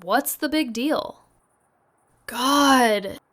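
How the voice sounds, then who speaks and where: An actress playing an anxious young woman sleuth in an audio drama, halting and nervously self-justifying as she feigns casual innocence. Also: high voice, a bit bright, heavily acted. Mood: mock casual. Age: young adult. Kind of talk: naturalistic audio drama banter.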